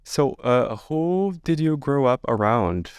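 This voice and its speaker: A man hosting a podcast, asking curious, gently probing questions with some fillers. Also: mid-range voice, very animated, slow, clean tone, dry recording. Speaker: podcast host. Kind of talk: interview questions. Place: podcast studio.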